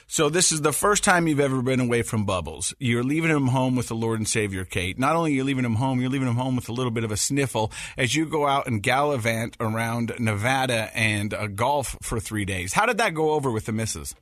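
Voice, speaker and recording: A man's voice: mid-range voice, expressive, fairly quick, hardly any pauses, fairly bright tone, no echo. A middle-aged man co-hosting a casual podcast from a studio, ribbing his co-host in a playful way.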